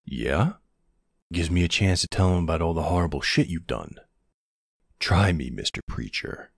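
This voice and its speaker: A man playing a protective, gruff but tender older boyfriend in an ASMR audio roleplay, unhurried and plain-spoken, with a husky, gravelly voice. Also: deep voice, expressive, no room sound, studio quality, heavily acted. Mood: stern, threatening.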